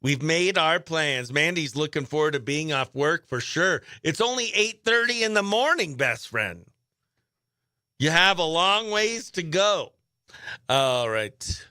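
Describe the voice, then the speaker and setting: Male radio host, high-pitched, crisp clean tone, excitable slangy chat, dry studio sound. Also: unhurried, dry recording. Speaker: radio morning show host. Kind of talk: casual live radio chat.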